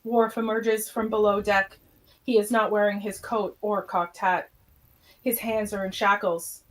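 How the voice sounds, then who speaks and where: Female narrator, scripted scene descriptions for amateur radio theatre, average pitch. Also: flat delivery, a little slow, a little hiss or hum, low-quality recording. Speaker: narrator of podcast radio play.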